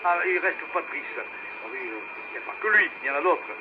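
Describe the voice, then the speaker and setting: A man's voice: a little high, expressive, fast, narrow, tinny audio. Hesitant male radio host over noisy relay. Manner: hesitant, rambling. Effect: two-way radio. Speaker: amateur radio net host. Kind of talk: casual radio net chat.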